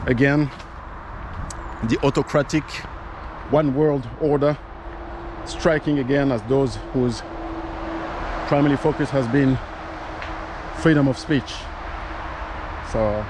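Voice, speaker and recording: Rambling male commentator, traffic noise behind. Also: mid-range voice. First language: not English. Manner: conversational, frequent fillers and restarts. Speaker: political commentary host. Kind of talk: casual commentary to camera.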